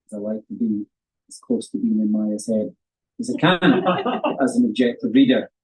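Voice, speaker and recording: A man's voice: on the low side, expressive, moderate pace, a little mellow, low-quality recording. A man hosting a live poetry event at a bookshop, introducing the guest poet with playful, flowery phrasing and frequent laughs. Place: bookshop event in front of an audience.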